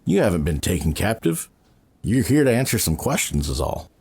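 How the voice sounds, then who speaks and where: An actor playing a blunt, gruff male government agent. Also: low-pitched, very animated, normal speed, a little mellow, exaggerated voice.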